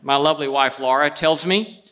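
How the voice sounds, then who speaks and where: A man hosting a show, telling prepared stories and introductions in a wry, deliberate way. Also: fairly high pitch, sharp tone. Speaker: show host introducing a guest. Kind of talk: prepared storytelling introduction before an audience.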